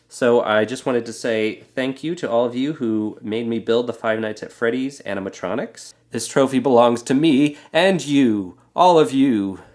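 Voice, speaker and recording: A man with a stuffy, congested voice from a cold, hosting a casual how-to building tutorial and explaining it in a relaxed way with frequent filler words. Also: medium pitch. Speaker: Lego builder and channel host.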